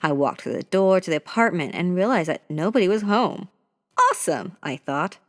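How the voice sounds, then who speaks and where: A narrator reading a scary story aloud in a steady, measured way. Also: medium pitch. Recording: voice with long hall reverb.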